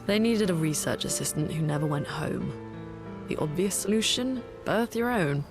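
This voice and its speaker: A woman's voice: on the low side, lively intonation, moderate pace. An actress playing a composed, dry-witted young woman scholar in a video game cutscene, matter-of-fact and wry. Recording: studio voice under clear background music.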